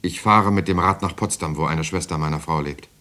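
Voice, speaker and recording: An actor playing a grave man who survived the war, narrating his memories in a solemn, measured way for a radio drama. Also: deep voice, sharp tone. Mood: tense recollection. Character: grave war survivor recalling bombed-out Germany.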